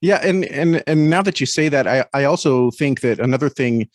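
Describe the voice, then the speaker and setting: A man speaking as an interview guest in a thoughtful, conversational way, often halting as he searches for words. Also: medium pitch, dry recording, clean studio sound.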